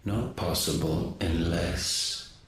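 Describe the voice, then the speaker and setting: Game villain: ancient male god, cold, grandiose, solemn archaic delivery, low-pitched, in heavy echo. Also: steady tone. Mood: grave astonishment. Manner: slow, solemn, archaic declamation. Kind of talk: dramatic video game cutscene acting. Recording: very echoey with faint rumbling noise.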